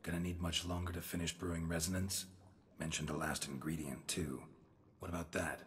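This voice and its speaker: An actor with an American accent playing a gruff, stoic middle-aged monster hunter in a game, gravelly and deadpan, speaking in clipped sentences. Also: male voice, low-pitched, fairly quick, slight background noise, natural voice. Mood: dry, terse. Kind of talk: video game cutscene acting. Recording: loud music underneath.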